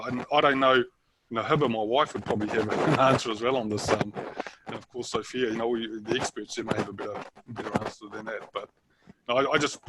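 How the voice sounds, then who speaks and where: A man from New Zealand with a Kiwi accent, speaking hesitantly with many fillers in a panel discussion over a video call. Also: mid-range voice. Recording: noisy video call audio.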